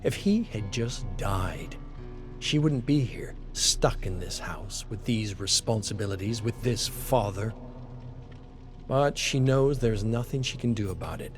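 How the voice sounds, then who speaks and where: A man's voice: lowish voice, expressive, a little slow. A man reading a scripted dramatic story as a narrator. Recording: voice over background music. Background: music.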